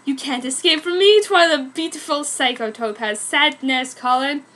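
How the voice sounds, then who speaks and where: A woman acts out a female vampire slayer as a fan fiction villain. The voice is high, mean and mocking, with theatrical taunts. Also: normal speed, a bit bright. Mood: taunting.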